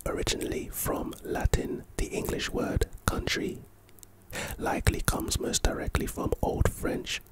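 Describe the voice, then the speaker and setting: Softly whispering man teaching vocabulary. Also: measured pace, rough recording. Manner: playful asides while reading. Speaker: ASMR host teaching vocabulary. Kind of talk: whispered ASMR lesson.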